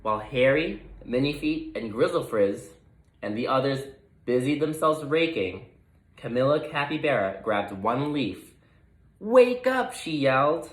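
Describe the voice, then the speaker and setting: A man reading a children's storybook aloud with expressive narration. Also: mid-range voice, measured pace, reverberant, rough recording. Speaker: storybook reader.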